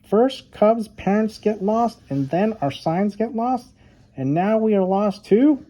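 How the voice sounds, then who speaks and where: A man's voice: sits high, lively intonation, slow, mellow, voice pushed up. A man voicing a small, unhappy, complaining female duck in a children's storybook. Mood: fretful, complaining.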